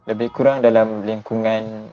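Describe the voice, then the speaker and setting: A young man in his twenties from Malaysia, a university student answering interview questions politely and in a measured way. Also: lowish voice, little pitch movement, a little hiss or hum. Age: 20s. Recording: dull lo-fi sound.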